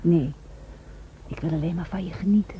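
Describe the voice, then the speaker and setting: A woman's voice: lowish voice, moderate pace, muffled recording, a little hiss or hum, close to an everyday voice. An actress playing a teasing, sensual young Japanese woman in a Dutch radio drama, intimate and playful.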